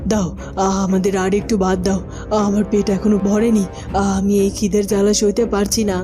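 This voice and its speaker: A woman's voice: medium pitch, flat delivery, moderate pace, pitched below the performer's own voice, lightly acted. A woman voicing a ravenous, impatient beggar pleading for food in a fairytale cartoon. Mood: desperate hunger. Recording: music under the voice.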